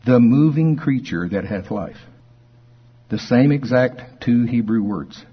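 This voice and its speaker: A man's voice: mid-range voice, expressive, slightly rough. Folksy male preacher, slightly muffled sound. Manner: folksy, conversational, deliberate emphasis when reading scripture. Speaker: preacher teaching a Bible study. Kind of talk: informal Bible teaching to a congregation, reading verses aloud. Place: speaking live before a congregation.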